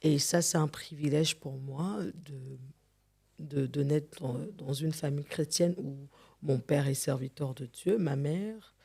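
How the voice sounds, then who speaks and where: Earnest woman giving a measured testimony. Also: low voice, gravelly, warm tone, husky. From Democratic Republic of the Congo. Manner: earnest, measured, repeats words while searching. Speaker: gospel singer and guest. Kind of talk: testimony interview.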